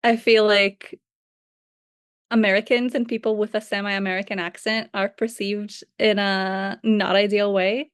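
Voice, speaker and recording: A woman's voice: medium pitch, little pitch movement, a little slow, clean tone, dry recording, clean studio sound. A young woman, a non-native English speaker with a light Slavic accent, talking reflectively as a guest researcher and hedging her points. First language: not English. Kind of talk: casual conversation.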